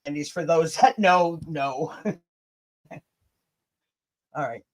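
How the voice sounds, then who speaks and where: Casual livestreamer, middle pitch, swears a lot, blunt, stumbles when reading stuff out. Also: slow pace. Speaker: livestream host. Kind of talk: casual livestream chat and reading emails aloud.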